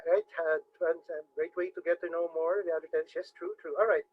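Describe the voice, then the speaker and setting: A man with a Filipino accent teaching a webinar, formally addressing the audience as ladies and gentlemen. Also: fairly high pitch, fairly even intonation, a little fast, a little hiss or hum. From Philippines. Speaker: webinar trainer. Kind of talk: instructional webinar talk. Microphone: video call microphone. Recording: dull lo-fi webinar audio.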